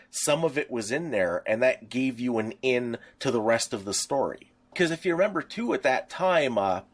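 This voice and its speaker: A man co-hosting a podcast, chatting casually about pop culture in a rambling, halting way as he explains things. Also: medium pitch. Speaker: podcast co-host.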